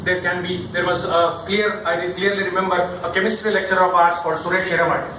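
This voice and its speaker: High-voiced Indian man, very echoey room. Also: fast talker. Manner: animated, jokey, often checks with listeners. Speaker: workshop speaker teaching public speaking. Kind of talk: interactive training talk with demonstrations. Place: indoor room in front of a live group. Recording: very echoey room, distant mic, noisy.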